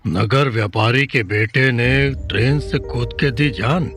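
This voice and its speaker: A man's voice: medium pitch, takes it slowly, a bit bright, faint music behind. A man voicing a stern husband who gives brusque orders, in an audio drama. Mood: curt. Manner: curt, commanding.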